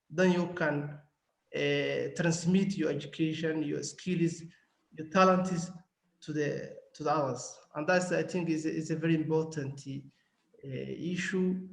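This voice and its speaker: Male student, halting, gravelly, slightly high voice, echoey video call. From Somalia. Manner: halting, many restarts and fillers. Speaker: student participant. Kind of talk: spontaneous remarks in a webinar.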